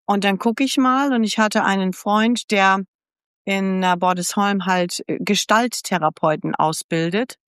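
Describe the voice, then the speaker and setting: Chatty older woman, smooth and close. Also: medium pitch, unhurried, a bit bright, no echo. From United States. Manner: candid, chatty storyteller who acts out past dialogue. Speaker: podcast guest. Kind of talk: casual life-story interview.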